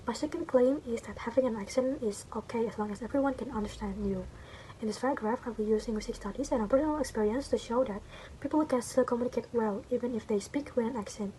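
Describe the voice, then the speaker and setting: A woman's voice: on the high side, mellow, slight background noise, rough recording. A young woman from Indonesia with a Sundanese accent, a university student reading her written assignment aloud with small stumbles and self-corrections. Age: young adult.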